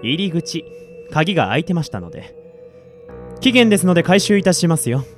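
A man's voice: on the high side, very animated, a little fast, soft background music. Man playing an unruffled, polite corporate collection agent. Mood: polite, matter-of-fact. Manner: businesslike, polite, insistent. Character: coolly polite male collection agent from an android company. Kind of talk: Japanese audio drama acting.

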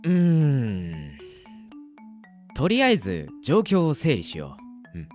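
A man's voice: mid-range voice, huge pitch swings, slow pace, a little mellow, soft background music. A man playing a slangy, cheeky, bewildered boy who mutters to himself in a radio drama. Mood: musing. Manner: casual slangy muttering to himself, exaggerated reactions. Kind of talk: hobby radio drama acting with self-talk monologue.

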